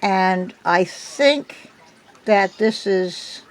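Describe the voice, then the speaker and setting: An older woman with a slightly hoarse voice from a cold, chatting at home into her phone in a meandering way, with many ums. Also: medium pitch, expressive, drawn-out words. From United States. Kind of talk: casual chat. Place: at home indoors.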